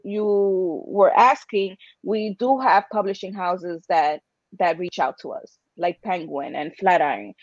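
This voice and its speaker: A woman's voice: mid-range voice, no echo. A middle-aged woman giving emphatic, passionate, conversational answers as an interview guest, often with rhetorical questions. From Dominican-American. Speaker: interview guest, writers' association founder. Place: indoors on a video call. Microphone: computer video-call microphone. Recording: dull, lo-fi video-call audio.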